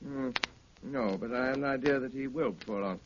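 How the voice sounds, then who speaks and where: An actor playing a cool, sardonic, commanding gentleman detective in a 1930s radio drama, speaking with clipped, dryly polite authority. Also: mid-range voice, fairly quick, lightly acted. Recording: muffled, noisy 1930s radio recording.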